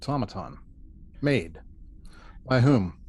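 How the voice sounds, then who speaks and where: A man reading the part of a stern first officer acting as prosecutor, deliberate and formal, in a sci-fi table read. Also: mid-range voice, animated, darkish tone, husky, heavily acted. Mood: probing. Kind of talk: amateur table read of a sci-fi courtroom script.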